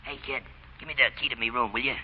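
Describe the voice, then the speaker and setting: An actor playing a dim, lazy, bragging prizefighter with rough, slangy talk in an old radio drama. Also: male voice, mid-range voice, fairly even intonation, fast talker, slight background noise, close to an everyday voice. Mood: boastful. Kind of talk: 1940s radio acting. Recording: very muffled old radio recording.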